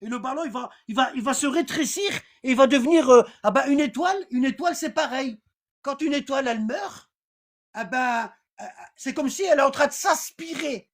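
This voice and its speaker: High-pitched informal teacher, repetitive and rambling, reads aloud and checks the listeners follow. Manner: reads aloud from text, then comments. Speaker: religious teacher. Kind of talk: informal online class.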